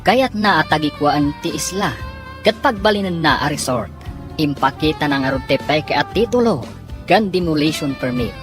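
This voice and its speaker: A woman's voice: sits low, animated, normal speed. A woman with an Ilocano accent narrating and announcing a scripted radio drama over music. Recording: music behind the voice.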